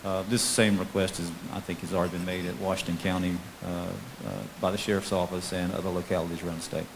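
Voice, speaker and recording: A man with a lowish voice presents to a town council as its police chief. He explains things plainly and informally. A faint hiss runs under the recording. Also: level tone, fast talker, creaky. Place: town council meeting room. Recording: faint hiss on meeting microphone.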